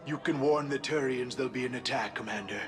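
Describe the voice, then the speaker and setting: A man's voice: mid-range voice, quick pace, music in the background, close to an everyday voice. A man voicing a reluctant, bargaining alien informant in a video game.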